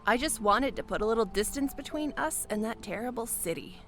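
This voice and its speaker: A man's voice: extremely high pitch, lively intonation, fairly quick, a bit bright, faint music behind, pitched above the performer's own voice, exaggerated voice. A man voicing a curt, frustrated female airship pilot in a fantasy audiobook, with clipped replies. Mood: uneasy.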